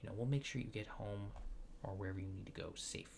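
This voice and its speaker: A man doing a soft-spoken ASMR roleplay, hushed and often near a whisper, with hesitant, polite, clinical phrasing. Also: on the low side, fast talker, gravelly, slight background noise. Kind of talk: soft-spoken ASMR roleplay as an alien abductor examining a subject.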